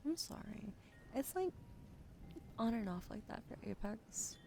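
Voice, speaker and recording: A sleepy woman streaming ASMR with a sore throat, switching between soft whispers and casual chat about games into a close microphone. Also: fairly high pitch, expressive, unhurried. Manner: soft whispering between chatty gaming talk. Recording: noisy stream audio.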